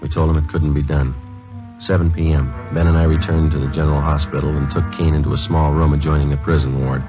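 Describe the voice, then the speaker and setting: A man's voice: rumbling low, quick pace, over music. An actor playing a dry, dogged male police detective who speaks and narrates tersely in a 1950s radio drama. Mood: matter-of-fact. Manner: deadpan, terse. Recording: dull old radio recording.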